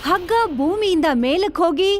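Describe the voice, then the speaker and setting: Very high-pitched woman narrating stories. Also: normal speed, no echo, soft background music. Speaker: story narrator. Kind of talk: scripted children's story narration.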